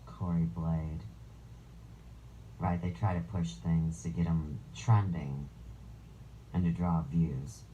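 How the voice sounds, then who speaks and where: A woman with a low voice hosting a live stream, reading viewer comments aloud with sarcastic, crude jabs. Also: fairly even intonation, slow, pauses often, mellow, highs cut off, slight background noise.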